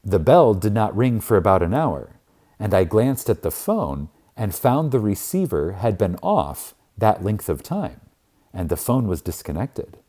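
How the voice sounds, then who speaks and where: A man narrating a spiritual audiobook in a reverent, emphatic manner with deliberate dramatic pauses. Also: fairly low pitch, big pitch swings, warm tone.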